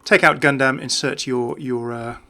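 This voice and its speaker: Bright-voiced, creaky man commentating on games. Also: mid-range voice, fairly even intonation, moderate pace. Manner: chatty, enthusiastic about small details. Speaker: video game commentator. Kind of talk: casual off-the-cuff gameplay commentary.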